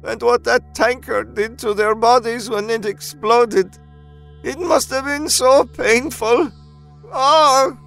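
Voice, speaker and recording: A guilt-ridden old grandma played by a male narrator, hot-tempered and dramatic. The voice sits high and pleading, over background music in a horror storybook reading. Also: steady tone, slow pace, vocal fry, fairly bright tone, full character voice. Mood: anguished.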